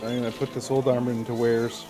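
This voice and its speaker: A man's voice: mid-range voice, little pitch movement, fast talker. A man co-hosting a let's play, casually talking through his inventory as he plays. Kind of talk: casual gaming chat. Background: game music.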